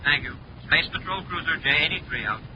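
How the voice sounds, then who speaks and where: An actor playing a formal space patrol pilot, clipped and official, in 1950s radio serial style. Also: male voice, on the high side, expressive, fairly quick, sharp tone. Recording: muffled, noisy 1950s radio broadcast.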